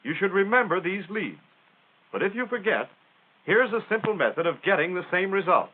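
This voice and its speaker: A man's voice: high-pitched, animated, moderate pace. Tinny 1940s American male training-film narrator. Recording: tinny, band-limited 1940s film soundtrack.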